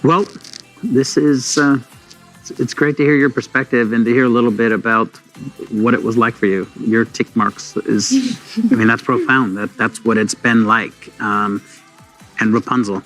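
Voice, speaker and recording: A man's voice: medium pitch, steady tone, normal speed, a bit bright, faint music behind, low-quality recording. A man hosting a panel discussion, asking teenage guests questions and responding encouragingly. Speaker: host.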